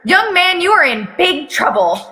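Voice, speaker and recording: Woman playing a stern, sharp, nagging mom. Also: very high voice, sing-song, normal speed, big-room sound, voice pushed up, exaggerated voice. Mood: scolding. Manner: scolding. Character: stern, nagging, exasperated mother of a teenage boy.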